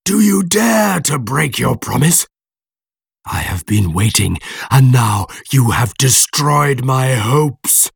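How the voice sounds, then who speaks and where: A man voicing a bitter, vengeful monster in an audiobook, slow, threatening and commanding. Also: mid-range voice, very animated, gravelly, husky, dry recording, clean studio sound, heavily acted. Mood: bitter, accusing.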